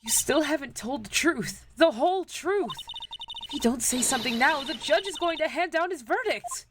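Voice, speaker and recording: A woman's voice: high-pitched, lively intonation, normal speed, noisy background. A woman playing an earnest, loyal young female defense attorney in an amateur video game voice-over. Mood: earnest, pleading.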